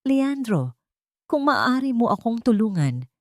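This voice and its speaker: A woman with a Filipino accent playing a wronged wife turned cold corporate queen in an audio drama, speaking firmly and deliberately. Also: fairly high pitch, very animated, no echo, studio quality, voice pushed up. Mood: firm request. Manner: firm, authoritative, deliberate.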